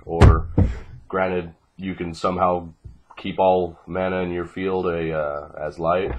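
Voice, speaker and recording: A young man with a low, groggy voice talks through a card deck. He is casual and unscripted, swearing now and then in a laid-back way. A faint noise sits behind him on the homemade recording. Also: highs cut off. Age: 20s. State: groggy, congested from fighting off a cold. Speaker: card game player presenting a deck. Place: small living room at home, indoors. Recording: noisy home webcam recording with clicks.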